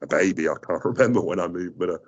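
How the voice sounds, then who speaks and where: A man's voice: deep voice, quick pace, slightly rough, lo-fi sound. A man whose first language is Greek, speaking as an interview guest in a hesitant way, with many fillers and restarts. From South Africa. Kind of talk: casual interview talk.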